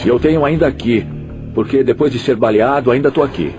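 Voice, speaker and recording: A man's voice: mid-range voice, a little fast, lightly acted. Guy voicing a proud ex-gangster, street talk, keeps asking 'you know', music playing under. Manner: casual street talk with frequent tag questions. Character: proud adult male former gang member. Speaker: dubbed interviewee. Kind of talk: documentary dub voice-over.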